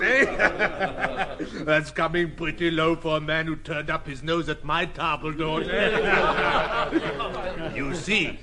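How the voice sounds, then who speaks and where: An actor playing a gloating, spiteful male hotel keeper who sneers and gossips in an audio drama. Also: fairly high pitch. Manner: sneering, punctuated by laughter.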